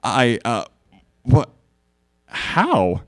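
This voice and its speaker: A man voicing an ancient regal female pony princess in a live comedic reading, with loud outbursts. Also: medium pitch, huge pitch swings, extremely slow, no echo, studio quality, heavily acted. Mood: shocked.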